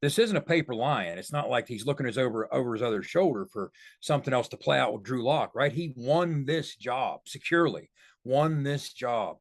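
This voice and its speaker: A man's voice: mid-range voice, expressive, moderate pace. A man giving conversational football analysis on a podcast, making his points emphatically.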